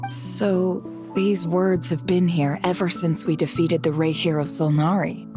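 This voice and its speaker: A woman playing a shrewd, composed female intelligence broker in a video game, with a measured, businesslike manner. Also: lowish voice, steady tone, over music, lightly acted. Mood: musing, assured. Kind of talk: video game cutscene dialogue.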